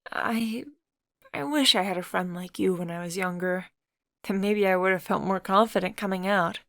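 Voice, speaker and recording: A woman's voice: medium pitch, normal speed, vocal fry, dry recording, clean studio sound. A woman voicing a shy, self-conscious young adult who is a boy inside, speaking hesitantly and timidly. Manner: hesitant and timid, soft sheepish replies.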